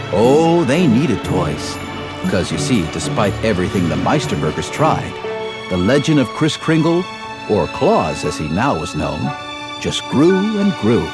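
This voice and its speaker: Kindly storyteller, animated and unhurried. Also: male voice, medium pitch. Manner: folksy, earnest, animated. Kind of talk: storybook narration in cartoon game. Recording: music behind the voice.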